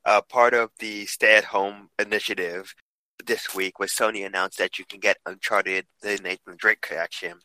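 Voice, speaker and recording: A young man co-hosting a casual podcast chat, upbeat, with many restarts and quick asides. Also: fairly low pitch, steady tone, pushed and bright, no echo, low-quality recording. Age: young adult. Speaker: podcast co-host.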